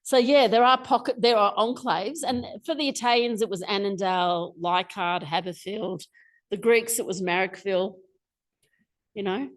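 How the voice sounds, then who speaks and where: A woman in her forties with an Australian accent, giving a chatty, lively public talk as a guest speaker, full of asides and restarts. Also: medium pitch, normal speed. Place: lecture room, indoors. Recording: echoey lecture room, faint noise.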